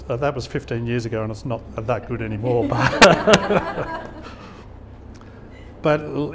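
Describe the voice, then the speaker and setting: A man's voice: mid-range voice, a bit bright, a little hiss or hum. A middle-aged man giving an informal, conversational talk to an audience in a function hall, telling anecdotes and chuckling at his own stories. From Australia. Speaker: guest speaker at a business networking event.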